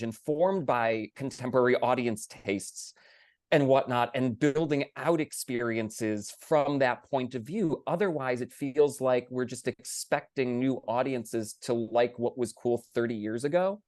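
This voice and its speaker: A man's voice: mid-range voice, no room sound. A man with a mid-range voice talks on a panel about his field. His delivery is emphatic, often built on rhetorical questions, and the sound is close and dry. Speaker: theater panelist. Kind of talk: panel discussion.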